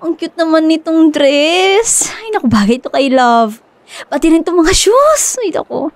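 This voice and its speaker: A woman playing an excitable, stubborn young woman who dotes on her pet dog in a radio drama, gushing and chatty. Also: extremely high pitch, moderate pace, dry recording. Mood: gushing, excited. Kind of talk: Filipino radio drama acting.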